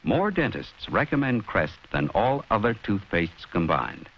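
A man's voice: on the low side, big pitch swings, a little slow, slightly rough. A man announcing a scripted 1960s TV commercial with authority, on a hissy vintage soundtrack. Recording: dull vintage film soundtrack with hiss.

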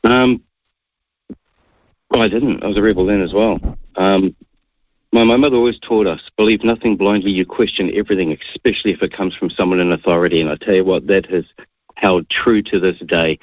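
Man, New Zealand accent, very low voice, quick defiant talk, dull lo-fi radio sound. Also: fairly even intonation, no echo. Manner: assertive, defiant. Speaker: guest. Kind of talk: radio interview.

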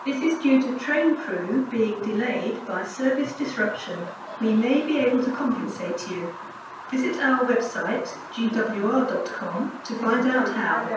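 A woman's voice: fairly high pitch, expressive, measured pace. A British woman giving formal, automated railway station announcements, scripted and pieced together phrase by phrase. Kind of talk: scripted public-address announcement. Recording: echoey station PA, distant, noisy.

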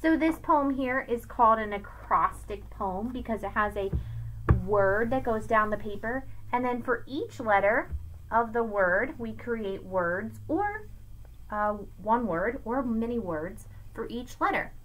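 A middle-aged woman teacher giving an expressive, emphatic read-aloud lesson for children, recorded on a webcam in a room at home. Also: on the high side, unhurried, a little hiss or hum, low-quality recording. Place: small room at home with bookshelves, indoors. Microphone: webcam at arm's length.

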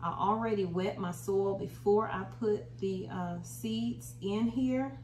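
A woman's voice: medium pitch, takes it slowly, a little mellow. American woman in a roomy, distant recording. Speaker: home gardening video host. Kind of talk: casual how-to demonstration. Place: small indoor room at home. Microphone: camera a short distance away. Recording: roomy, distant mic, faint noise.

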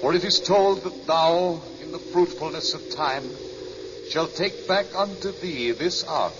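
An actor playing the solemn last survivor of a peaceful race in a 1950s radio drama, reverent and archaic in delivery. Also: male voice, on the high side, unhurried, noisy background, natural voice. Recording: muffled old radio recording with music.

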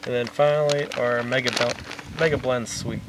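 A man in his thirties talks through a recipe in a relaxed, plain way, with a few fillers along the way. He sits outdoors by a lake, a few meters from the camera, so his voice sounds distant. Steady outdoor noise runs under his words. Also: mid-range voice, normal speed. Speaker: fishing vlogger. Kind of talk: casual how-to demonstration. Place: outdoors on a lakeshore. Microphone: camera mic from a few meters away.

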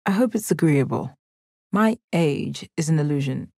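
An actress voicing a calm, stoic alien warrior woman in a video game, with measured, deadpan, formal phrasing and a confident, kind temper. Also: low-pitched, creaky, slightly rough, dry recording, studio quality, full character voice. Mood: warm, composed. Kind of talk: video game character voice lines.

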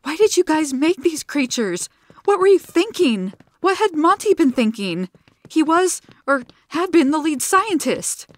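A woman voicing a grieving young heroine who is also a fierce fighter, with a shrill, distraught delivery. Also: big pitch swings, measured pace, dry recording. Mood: accusing.

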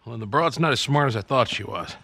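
An American man playing a gruff, sarcastic, paranoid middle-aged survivalist loner in a cinematic video game. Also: medium pitch, animated, brisk, background noise. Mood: bitter, cynical. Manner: gruff, foul-mouthed, sarcastic. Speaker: game character actor.

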